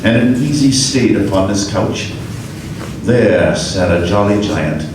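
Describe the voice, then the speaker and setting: A man narrating a classic ghost story aloud in a live radio play, with measured, vivid storytelling. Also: fairly low pitch, animated. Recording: echoey hall, far mic, noise.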